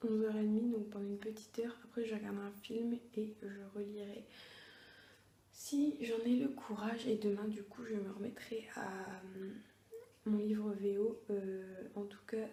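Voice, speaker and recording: A woman's voice: medium pitch, roomy sound, a little hiss or hum. Young woman half-whispering, hesitant. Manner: hushed, often half-whispered, hesitant with many fillers. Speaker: book vlogger. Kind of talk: casual reading-challenge vlog diary. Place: at home at night.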